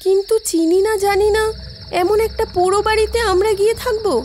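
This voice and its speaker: A woman voicing a timid, nagging young female student in an animated horror story. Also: very high-pitched, quick pace, a little hiss or hum. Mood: fearful, pleading. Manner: anxious, complaining.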